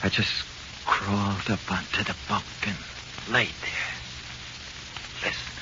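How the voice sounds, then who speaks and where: A man's voice: fairly low pitch, level tone, a little slow, natural voice. A man with an American accent playing a tough-talking but easily frightened jailed crook in 1940s radio horror, slangy and tense. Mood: shaken recounting. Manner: slangy, uneducated, tense delivery. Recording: muffled, hissy 1940s radio recording.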